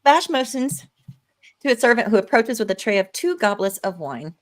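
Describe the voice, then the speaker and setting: A woman's voice: a little high, big pitch swings, moderate pace. A woman reading stage directions as narrator in a live amateur table read, with a dramatic storytelling manner.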